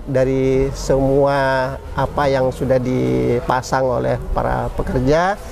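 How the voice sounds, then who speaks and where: An Indonesian man speaks as a committee representative being interviewed. His pitch is average, and he answers conversationally, often repeating key words for emphasis. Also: steady tone, takes it slowly, gravelly, background noise.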